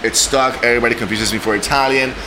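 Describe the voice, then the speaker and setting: A man's voice: mid-range voice, steady tone, fast, background noise. A man from Cuba speaking casually as a podcast guest, emphatic and often repeating his words for stress. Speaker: guest, bar general manager.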